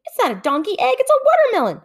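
Storybook hare: brash, exasperated, exclaiming in a high, swooping pitch, played by a woman. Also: wildly swooping pitch, fast talker, exaggerated voice.

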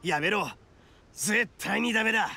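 An actor playing a brash, hot-headed but loyal young oni gang boss in an anime-style game, talking rough and blunt. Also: male voice, high-pitched, animated, unhurried, pushed and bright, slight background noise, exaggerated voice. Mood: tense, worried.

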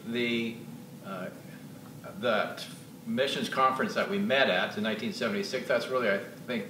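A man in his sixties from Alberta, a missionary guest telling his story in a halting way full of filler sounds. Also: lowish voice. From Canada, Alberta. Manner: hesitant, many filler uhs. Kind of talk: casual interview testimony. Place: church lounge with sofas, indoors. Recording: roomy echo, distant mic, clear hiss.

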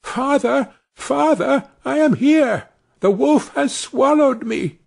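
Tom Thumb, a man doing a high chirpy tiny boy. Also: lively intonation, slow, creaky, a little mellow, breathy edge, exaggerated voice. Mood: calling out. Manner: high, sing-song and eager. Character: tiny cheeky boy hero, bold and chirpy.

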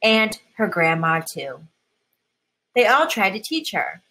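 Female children's storybook reader, unhurried and expressive, with a crisp bright tone and slightly husky edge. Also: mid-range voice, low-quality recording. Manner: expressive, deliberate, with emphasized key words. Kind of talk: children's picture book read-aloud.

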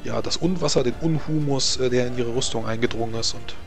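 A man's voice: medium pitch, quick pace. A man running a tabletop role-playing game as game master, narrating casually and hesitantly as he thinks aloud. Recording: music behind the voice. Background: music.

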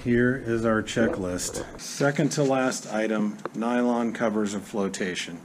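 A man's voice: lowish voice, a little slow, noisy background. A middle-aged man casually narrating his boat build, matter-of-fact and thinking aloud as he works in a cluttered home workshop. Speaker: woodworker vlog host.

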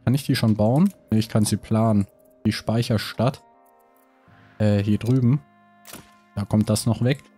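A man's voice: lowish voice, fairly even intonation, unhurried, pauses often, warm tone, slightly rough, faint music behind. A man with a cold, casually commenting on his building game while thinking aloud with many hesitations. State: has a cold. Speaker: let's play host.

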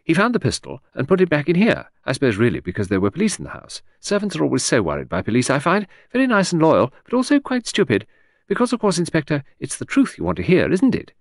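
A man's voice: on the high side, wildly swooping pitch, fast, no echo, clean studio sound, voice pushed up, heavily acted. A man voicing a vague, charming aristocratic older lady who talks in a breezy, scatterbrained gush.

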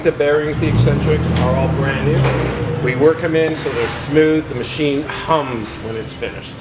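A man's voice: medium pitch, gravelly, heavy background noise. An older man guiding a tour of his workshop, explaining each step in a plain, matter-of-fact way. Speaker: shop manager explaining work. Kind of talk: explanatory tour guide talk. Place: indoor workshop and warehouse.

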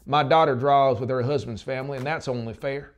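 A man's voice: mid-range voice, fairly even intonation, fairly quick, muffled recording, pitched above the performer's own voice, natural voice. A man voicing a regretful, plain-spoken small-town husband and father in an audiobook. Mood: resigned.

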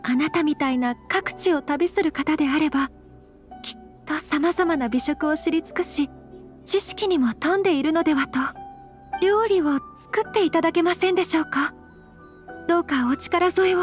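A woman playing a refined, gentle young noblewoman in an anime game, speaking formally, courteously and earnestly. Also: high-pitched, moderate pace, soft background music, natural voice. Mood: polite, gentle. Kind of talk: anime game character acting.

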